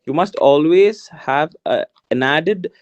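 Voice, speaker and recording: A man's voice: a little high, expressive, takes it slowly, dry recording, muffled recording. Indian man giving a casual pep talk. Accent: Indian English. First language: Tamil. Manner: casual, encouraging, calls listeners man and guys. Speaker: alumni guest speaker. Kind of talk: motivational talk to students.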